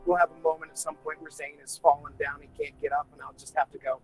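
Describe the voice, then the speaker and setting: A man's voice: a little high, flat delivery, fast, soft background music, low-quality recording. A man playing a tabletop roleplaying game, chatting casually and jokingly with the group.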